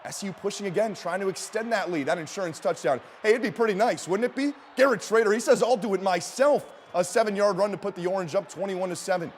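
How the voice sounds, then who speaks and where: Male sports broadcaster, fairly high voice, emphatic and punchy, calling highlights and filing TV reports. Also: fast, hardly any pauses, a little hiss or hum. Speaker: sports play-by-play announcer and TV reporter.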